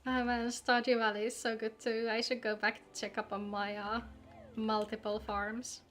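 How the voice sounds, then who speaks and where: A woman with a fairly high voice streams a game over background music. She talks casually, as if to friends in a chat. Her manner is playful and chatty, with a joking streak. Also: little pitch movement, moderate pace, a bit bright. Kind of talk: casual livestream chat while gaming.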